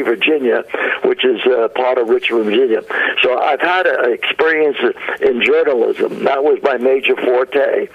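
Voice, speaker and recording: An elderly man with a New York accent speaks at an ordinary pitch over a muffled phone line. He rambles through his memories in a friendly way, stopping often on ums and restarts and chuckling now and then. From Brooklyn, New York. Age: 90s. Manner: rambling, chuckling storyteller with frequent hesitations. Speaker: guest, booking agent. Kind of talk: phone interview. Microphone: telephone.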